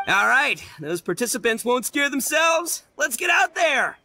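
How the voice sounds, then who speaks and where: A man's voice: very high voice, big pitch swings, slow, pushed and bright, shouting, a little hiss or hum, full character voice. A man voicing a boastful, boyish oni gang leader in a video game, with brash, slangy, theatrical swagger. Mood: gleeful. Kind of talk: animated video game character acting.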